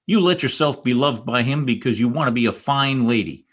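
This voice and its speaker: A man's voice: medium pitch, expressive, brisk, lightly acted. A man playing a bitter, lovesick young stable hand, passionate and brooding, in old-time radio drama style. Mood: bitter, angry. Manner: intense, scornful and pleading. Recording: amateur radio play recorded over video call.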